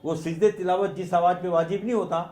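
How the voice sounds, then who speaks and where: A man explains his argument off the cuff in an emphatic, repetitive way. His voice is high, and the room around him echoes noticeably. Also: fairly even intonation, quick pace, a little mellow. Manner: emphatic, repeating phrases for weight.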